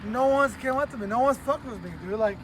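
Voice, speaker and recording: A man's voice: very high-pitched, quick pace, noisy background. A man from California talking casually outdoors on a city street at night, emphatic and profane, repeating his words. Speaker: interviewee.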